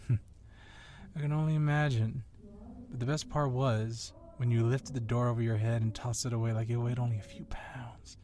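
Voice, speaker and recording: A man's voice: mid-range voice, steady tone, moderate pace, mellow, close to an everyday voice. A man playing an agile, confident police officer with a casual, flirtatiously admiring manner in an ASMR roleplay. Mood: admiring, flirty. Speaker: voice actor in audio roleplay.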